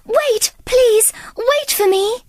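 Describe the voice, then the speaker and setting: A woman voicing a gentle young maiden in a children's storybook, earnest and breathlessly emotional. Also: very high-pitched, takes it slowly, no echo, clean studio sound, pitched above the performer's own voice. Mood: urgent. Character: gentle young maiden, delighted, pleading or heartbroken.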